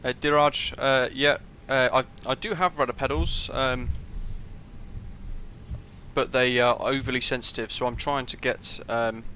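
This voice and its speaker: Bright-voiced British guy streaming. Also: mid-range voice, a little slow, a little hiss or hum. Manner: relaxed, rambling, many fillers, answers chat. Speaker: flight sim streamer. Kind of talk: casual live-stream commentary.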